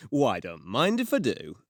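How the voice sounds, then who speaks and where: Male actor as young mob heir, loudmouthed and mocking, wildly swinging pitch, dry close sound. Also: wildly swooping pitch, unhurried, husky, dry recording, exaggerated voice. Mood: smug, sarcastic. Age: young adult. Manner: brash, sarcastic, taunting. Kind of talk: audio drama acting.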